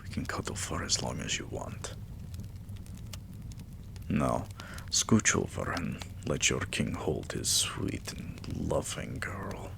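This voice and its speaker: A man playing an adoring, weary vampire king in a romantic ASMR roleplay, murmuring tenderly and intimately in a breathy, gravelly half-whisper. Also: rumbling low, very animated, slow, noisy background, full character voice. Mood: soothing, protective.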